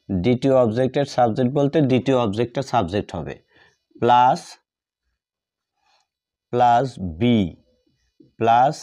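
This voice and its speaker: A man's voice: medium pitch, mellow. A man teaching a grammar lesson step by step, dictating in short, repeated phrases as he writes.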